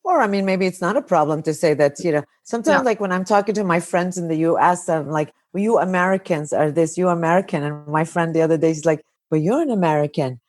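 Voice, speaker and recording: Low-voiced chatty woman host. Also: fast talker, hardly any pauses, no room sound, studio quality. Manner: chatty, anecdotal, with many "like"s and "you know"s. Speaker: podcast host, counselor. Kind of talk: casual podcast conversation. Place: Kuwait.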